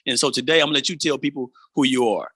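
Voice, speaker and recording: Bright, forceful streetwise man hosting. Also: mid-range voice, rapid-fire, a little hiss or hum. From San Francisco Bay Area, United States. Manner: streetwise, often checks in with "right?". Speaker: show host, interviewer. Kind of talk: informal motivational interview talk.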